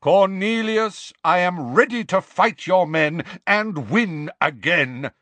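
A man voicing an old, calm, commanding male wizard who speaks slowly and gravely. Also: medium pitch, dry recording. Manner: slow, grave, measured.

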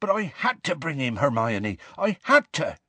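A huge half-giant man from a storybook, soft-hearted and anxious. He pleads earnestly in rustic dialect, his pitch lifting and swooping, recorded close and dry. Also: very animated, normal speed, slightly rough, dry recording. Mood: desperate, tearful.

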